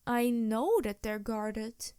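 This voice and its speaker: A woman acts out a haughty succubus with a highish voice, recorded close and dry. She draws her words out slowly, flirtatious and vain, in an intimate ASMR roleplay style. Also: dark tone, smooth, no room sound. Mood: exasperated, scheming. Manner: languid, flirtatious, theatrical, sighing. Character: vain, seductive succubus demon, haughty and teasing. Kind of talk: ASMR roleplay, improvised character acting.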